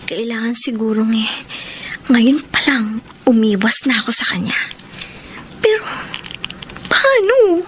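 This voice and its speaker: A woman's voice: a little high, animated, slow pace, pauses often, a bit bright, a little hiss or hum. An actress playing a polite, hesitant young Filipino woman being courted in a radio drama. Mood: torn, confiding.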